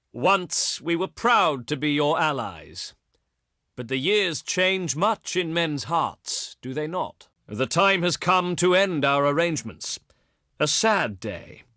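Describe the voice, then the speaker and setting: An actor playing a proud, pious ancient Greek diplomat in a strategy game, speaking in formal, theatrical oratory with haughty eloquence. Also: male voice, fairly high pitch, pushed and bright, dry recording, clean studio sound, exaggerated voice. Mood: solemn, regretful. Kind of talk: theatrical scripted game dialogue.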